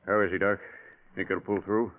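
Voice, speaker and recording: A man's voice: deep voice, little pitch movement, a little fast, a little hiss or hum, natural voice. A plain-spoken backwoods guide , played by a man. His talk is folksy and helpful, in the manner of 1940s radio drama. The old recording sounds muffled and thin in band. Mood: concerned. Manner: folksy, informal.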